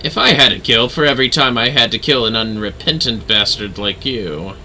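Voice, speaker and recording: A man voicing a calm, ominous dark knight mentor from a video game, in a measured, dryly formal and theatrical way. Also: medium pitch, slight background noise, poor audio. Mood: dry, defiant. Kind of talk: video game dialogue read aloud.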